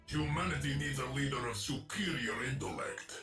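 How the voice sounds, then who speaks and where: An actor playing an obsessive, cold machine-augmented inventor in a video game, speaking formally and grandiosely. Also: male voice, mid-range voice, lively intonation, normal speed, close to an everyday voice. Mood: zealous. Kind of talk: video game character lines. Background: game music.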